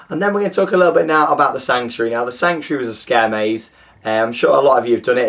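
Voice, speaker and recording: A young man hosting a theme park news show, his voice groggy and hoarse, chatting enthusiastically with plenty of fillers. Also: medium pitch. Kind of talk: casual scripted news presenting.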